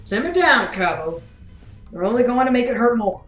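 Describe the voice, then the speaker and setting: A woman's voice: a little high, very animated, normal speed, muffled recording. Woman as firm soldier comrade, echoey room. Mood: firm, placating. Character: firm female comrade soothing a wounded soldier. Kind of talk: audio drama acting. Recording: echoey room, faint music.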